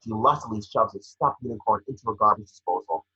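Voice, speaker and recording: A man narrates stage directions in a very husky, fairly low voice, tripping on the odd word. The sound is dull and lo-fi, like an online call. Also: quick pace, sharp tone. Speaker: scene description narrator. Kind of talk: reading screenplay stage directions aloud.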